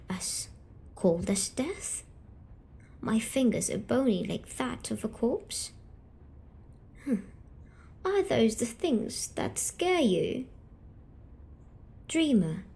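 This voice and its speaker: A woman voicing a gentle, wounded female sleep paralysis demon in an ASMR roleplay, coaxing softly and deliberately with breathy half-whispers. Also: on the high side. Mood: coaxing, teasing. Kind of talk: slow ASMR storytelling roleplay.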